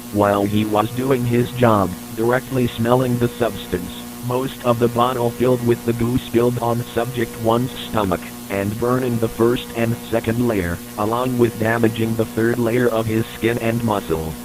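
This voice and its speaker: A man's voice: mid-range voice, flat delivery, moderate pace, hardly any pauses, music in the background. A man reading a scripted, formal, matter-of-fact report as the narrator of an analog horror tape. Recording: noisy recording with electrical hum.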